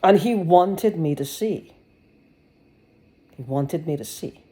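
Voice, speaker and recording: A woman's voice: low-pitched, expressive, takes it slowly, mellow. A woman giving a rambling personal video monologue, agitated and sarcastic, swearing and restarting her sentences. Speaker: vlogger.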